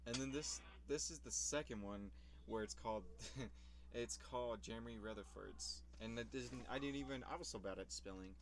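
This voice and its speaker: A man's voice: mid-range voice, normal speed, a little hiss or hum. A young man in casual vlog commentary, rambling about his old videos, swearing casually and laughing at himself.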